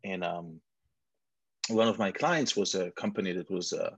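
Israeli man in his forties, husky and a touch low, dry close sound, rambling self-mocking storyteller. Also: normal speed, fairly bright tone, no room sound. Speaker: guest entrepreneur. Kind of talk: casual interview chat. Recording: dull muffled lo-fi sound.

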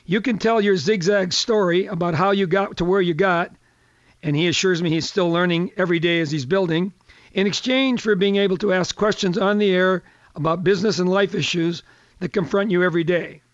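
An older man hosting a radio talk show in a chatty, folksy manner, his voice a little hoarse. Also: high-pitched, dry recording, lo-fi sound. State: slightly hoarse.

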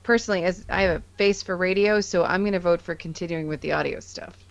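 A woman's voice: fairly low pitch, fast, gravelly. A woman co-hosting a casual interview podcast in a chatty style. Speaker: podcast co-host. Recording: slightly dull, lo-fi.

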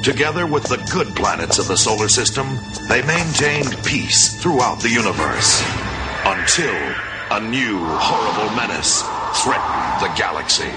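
A man's voice: lowish voice, expressive, close to an everyday voice. An actor playing a solemn, portentous male narrator introducing a heroic 1980s space cartoon. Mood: grand, solemn. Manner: portentous, dramatic, measured. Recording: loud theme music behind voice. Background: loud cartoon theme music.

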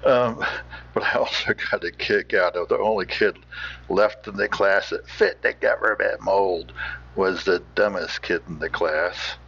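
A man hosting a podcast, giving casual off-the-cuff commentary in a halting, musing way. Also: deep voice, gravelly, fairly bright tone, breathy edge. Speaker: podcast host. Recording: slightly muffled sound.

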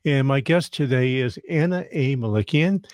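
A man's voice: medium pitch, normal speed, a little mellow, dry recording. A man hosting a podcast interview, talking in a friendly, rambling way and often restarting his sentences. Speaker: podcast host.

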